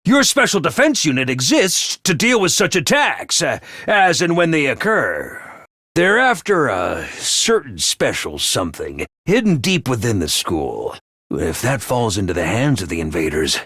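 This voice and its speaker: An actor playing a flamboyant, bloodthirsty military commander who gives booming, theatrical orders in video game voice lines. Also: male voice, sharp tone, no echo, studio quality, heavily acted. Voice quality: booming, theatrical, drill-sergeant bravado. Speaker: commander announcer.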